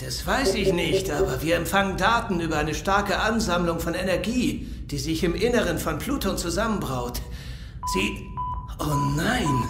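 A dutiful male officer in a radio play. His voice sits high and sounds anxious and deferential. The room echoes, with faint music underneath. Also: expressive, moderate pace, fairly bright tone. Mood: alarmed. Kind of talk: German audio drama acting.

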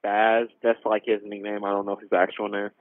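A young man speaks on a thin, narrowband phone line. His voice sits fairly low and stays very even in pitch. He gives a halting, informal account as a caller to emergency services. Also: slight background noise. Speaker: caller reporting a robbery. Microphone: telephone.

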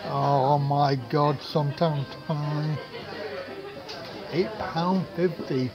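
A middle-aged man with a Southern English accent, talking slowly and wryly with a slightly dull, muffled sound. He films himself in a small dark restaurant, with clear music playing behind him. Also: a little high, steady tone, crowd noise. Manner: chatty, wry, exclaims at prices. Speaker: travel vlogger. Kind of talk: casual vlog chat. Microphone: camera across the table.

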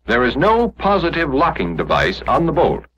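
An American man narrating a scripted 1940s instructional film in a measured, explanatory manner, on an old film soundtrack. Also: on the high side, huge pitch swings, a bit bright, muffled recording, a little hiss or hum.

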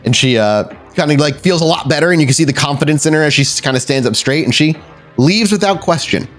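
A man's voice: on the high side, expressive, quick pace. A man narrating a tabletop horror game as storyteller, in a casual, conversational style. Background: faint music.

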